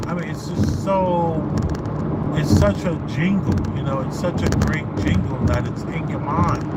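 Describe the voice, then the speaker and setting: A man giving casual, off-the-cuff commentary in a halting, repetitive way. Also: on the high side. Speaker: commentator reacting to a video. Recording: distant mic, loud vehicle and wind noise.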